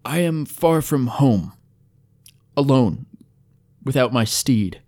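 A man voicing a wounded male elf with a hoarse, weak voice and formal, halting, courteous speech in a fantasy audiobook. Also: medium pitch, lively intonation, a little mellow, pitched above the performer's own voice. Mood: weak, solemn.

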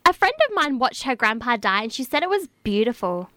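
A woman playing an earnest human friend in a comedy audio drama, speaking with deliberate sincerity. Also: on the high side, sing-song, pushed and bright, no room sound, studio quality. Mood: reverent, earnest.

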